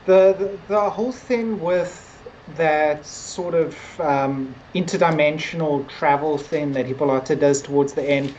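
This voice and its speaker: Woman, very low pitch, casual discussion, halting word-searching delivery, background noise. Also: darkish tone, muffled recording. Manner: hesitant, searching for words. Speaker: podcast co-host.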